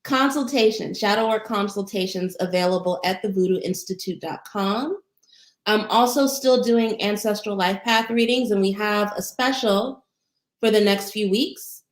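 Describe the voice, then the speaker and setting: A woman with a soothing voice, a meditation teacher answering chat questions in a casual, gentle, encouraging livestream. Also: mid-range voice, moderate pace. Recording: roomy echo.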